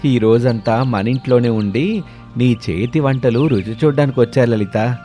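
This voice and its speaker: A man voicing a polite, obliging village farmer in an animated moral story, agreeable and hospitable. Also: mid-range voice, normal speed, faint music behind, close to an everyday voice.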